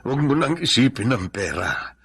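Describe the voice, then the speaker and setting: A man's voice: medium pitch, sing-song, normal speed, vocal fry, a bit bright, a little hiss or hum. An actor playing a protective, determined father in a Filipino radio drama.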